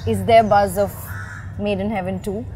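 A woman's voice: mid-range voice, fairly even intonation, moderate pace, dark tone, slight background noise. A woman interviewer walking a city street, asking long, chatty questions and laughing easily. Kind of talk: casual interview on a street walk.

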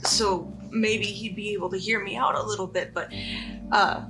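A woman playing a blunt, wary female traveler who talks in a halting, informal way. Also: medium pitch, fairly even intonation, over music, natural voice, poor audio. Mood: uneasy. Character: blunt, wary, hungry female ship passenger.

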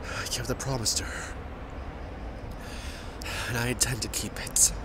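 An actor playing a grieving young male musician, who talks softly and tearfully, with choked breaths and small laughs. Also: medium pitch, fairly even intonation, a little slow, very noisy, natural voice. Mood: grateful, hushed. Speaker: voice actor in an audio roleplay. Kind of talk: emotional audio roleplay acting. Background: loud music underneath.

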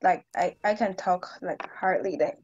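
A woman's voice: on the low side, a little mellow, husky, no room sound, muffled recording. Hesitant teenage girl on a video call. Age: teens. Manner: halting, searching for words, simple grammar. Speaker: high school English student. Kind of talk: conversation practice in a lesson. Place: small indoor room at home. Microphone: laptop webcam on video call.

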